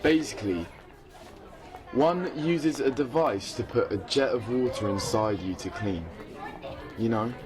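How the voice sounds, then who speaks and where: An embarrassed teenage boy played by a man confiding in a friend in a radio play, people audible around him. He speaks at a middle pitch, earnest and awkward, in natural everyday dialogue. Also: unhurried, pauses often, crowd noise, natural voice. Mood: awkward, exasperated.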